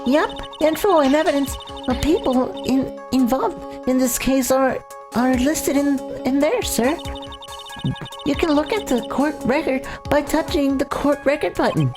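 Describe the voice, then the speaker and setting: A woman's voice: sits high, unhurried. A woman voicing an earnest, scrappy young policewoman in a video game, emphatic and stumbling over words. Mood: helpful, eager. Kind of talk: voiced video game playthrough. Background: video game music.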